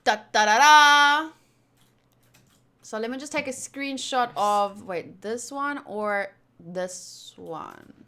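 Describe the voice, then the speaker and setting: High, bright-voiced woman chatting on stream. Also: takes it slowly. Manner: playful, teasing, animated. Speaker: streamer hosting a game playthrough. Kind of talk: casual livestream chat to viewers.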